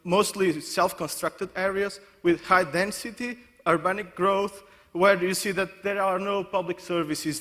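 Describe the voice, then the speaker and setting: A man's voice: on the high side, a bit bright. A man from Portugal giving a conference presentation, often restarting and correcting himself mid-sentence. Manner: halting, many restarts and self-corrections. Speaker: conference speaker. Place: conference hall. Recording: long hall reverb.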